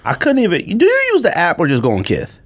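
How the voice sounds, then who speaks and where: A man chats casually as a podcast co-host, his pitch swinging around in a very lively way. He is slangy and jokey, and he has a cold that leaves him slightly under the weather. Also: fast talker, slightly rough. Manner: animated, joking, slangy. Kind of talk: casual chat.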